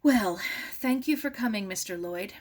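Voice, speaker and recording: A woman voicing a grieving young woman in a storybook, with a high, thin voice and brittle, polite calm. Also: normal speed, close to an everyday voice. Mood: controlled grief. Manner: polite, restrained, fragile composure. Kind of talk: storybook read-aloud.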